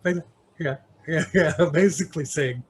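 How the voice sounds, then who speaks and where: A man from New York with an American accent, an interview guest telling stories casually and haltingly, sometimes switching between English and Mandarin. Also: a little high. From New York, United States. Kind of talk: casual conversational storytelling. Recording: clear background noise.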